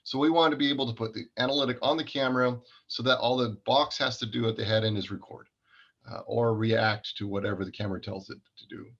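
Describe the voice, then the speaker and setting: A man presents products informally, explaining technical details at a mid-range pitch. The sound is dull and band-limited, like call audio. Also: steady tone, quick pace, fairly bright tone.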